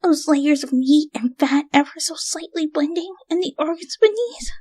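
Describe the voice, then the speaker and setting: A woman voicing a brash, eager tomboy cartoon pony with a cocky, excitable manner. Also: sits high, moderate pace, no echo, clean studio sound, voice pushed up, lightly acted. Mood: craving.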